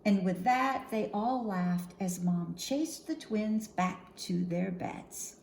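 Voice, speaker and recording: Slow, lively woman reading a children's story. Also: medium pitch, vocal fry. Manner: simple, instructive, addressing young children directly. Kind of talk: picture-book read-aloud for young children. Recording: roomy echo, faint background noise.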